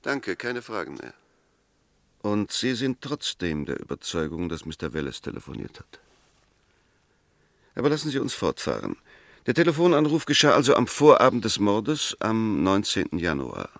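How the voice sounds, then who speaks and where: A persuasive defence lawyer in a radio drama. His voice is fairly low and very dry in the studio, with precise, articulate phrasing and an argumentative, probing delivery. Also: sing-song, normal speed, dry recording. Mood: dry, reasoning. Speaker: actor in a radio play. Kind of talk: German radio drama acting.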